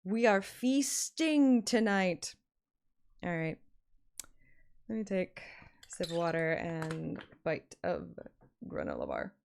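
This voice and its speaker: A woman's voice: lowish voice, very animated, slow, vocal fry, long pauses, a little mellow. A woman livestreamer chatting casually and playfully, often giggly and interrupting herself as she talks to viewers. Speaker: live streamer and fan reader.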